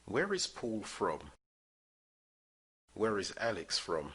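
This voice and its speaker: Slow, lively man reading a lesson. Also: on the low side. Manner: clear drill-style reading of phrases for repetition. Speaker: English teacher. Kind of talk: slow scripted language lesson.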